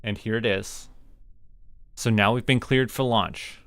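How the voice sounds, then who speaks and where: A man's voice: on the low side, fairly even intonation, a little slow, a bit bright, no room sound, clean studio sound. A Canadian man giving casual, playful live commentary as he hosts a gaming stream, slipping into mock-official mission-control talk. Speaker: livestream gamer host.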